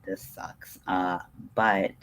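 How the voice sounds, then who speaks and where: A man's voice: deep voice, flat delivery, drawn-out words, a bit bright. A man hosting a casual livestream, rambling in a hesitant, digressive, self-deprecating way. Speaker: livestream host.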